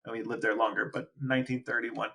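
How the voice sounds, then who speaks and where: A man co-hosting a podcast, chatting animatedly with frequent restarts and excited jumps in pitch. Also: fast talker, vocal fry. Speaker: podcast co-host. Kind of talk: casual chat.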